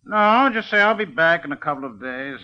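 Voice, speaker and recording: An actor playing a self-assured racketeer who gives orders casually in an old radio crime drama. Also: male voice, a little high, animated, brisk, close to an everyday voice. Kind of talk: 1940s-50s radio drama acting. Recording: muffled old radio recording.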